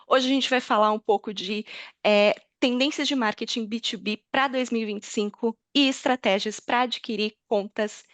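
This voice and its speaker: A woman's voice: medium pitch, a bit bright, no echo. A woman with a Brazilian Portuguese accent hosting an online webinar in an informal, welcoming, chatty way. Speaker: webinar host, senior marketing manager. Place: online video call.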